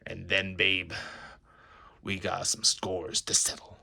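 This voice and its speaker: A man voicing a gruff, battered, tough and wry brawler hero in a comic book read-aloud. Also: low voice, completely flat delivery, takes it slowly, pushed and bright, voice pushed down, exaggerated voice. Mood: weary, wry.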